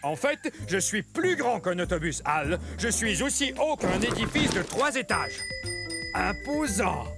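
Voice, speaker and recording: Giant proud plant-eating cartoon dinosaur, high voice, very lively pitch, boastful, music behind. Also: male voice, unhurried, gravelly, full character voice. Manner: boastful, bouncy, laughing. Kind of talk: children's educational cartoon.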